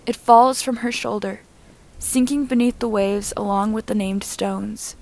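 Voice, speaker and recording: A woman reading fan fiction aloud as an amateur audiobook narrator, in a measured, deliberate manner with short phrases. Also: medium pitch, fairly even intonation, darkish tone.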